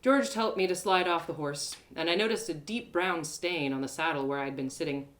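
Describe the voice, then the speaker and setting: A woman storyteller giving an expressive first-person narration of a fantasy adventure in a live reading. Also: low-pitched, moderate pace. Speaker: storyteller, one-woman audio drama performer.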